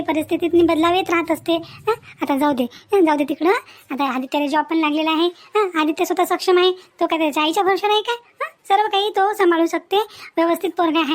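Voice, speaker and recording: A woman's voice: high-pitched, natural voice. A woman voicing an outspoken, protective village mother in a cartoon family story, with a rural Vidarbha Marathi accent and an emphatic, repetitive way of talking. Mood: consoling. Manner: chatty, emphatic, repetitive scolding and advising. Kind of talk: animated family story dialogue.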